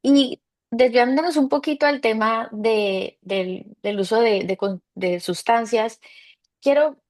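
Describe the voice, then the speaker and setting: A woman's voice: a little high, takes it slowly, darkish tone. Earnest woman hosting a podcast. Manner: earnest, with small stumbles and fillers. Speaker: podcast host. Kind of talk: podcast interview.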